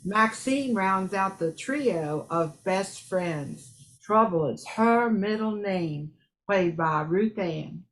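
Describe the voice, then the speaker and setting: A woman's voice: medium pitch, animated, slow pace, low-quality recording. An older woman reading a scripted cast introduction, with some room ambience behind her. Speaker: amateur cast member.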